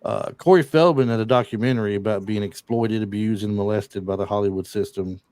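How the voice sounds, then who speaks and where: A man's voice: on the low side, a little fast, a little mellow, no room sound. A man hosting a casual podcast, retelling movie scenes and reading off film trivia with lots of fillers. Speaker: podcast host. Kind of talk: casual chat.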